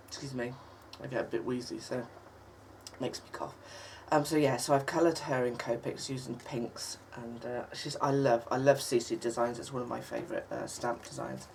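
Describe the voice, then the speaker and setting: A woman hosting a crafting tutorial, croaky and husky from a cold, chatting in a halting way with lots of ums and the odd cough. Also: big pitch swings, a little mellow. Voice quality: husky, low for her. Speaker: craft channel host. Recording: distant mic with faint noise.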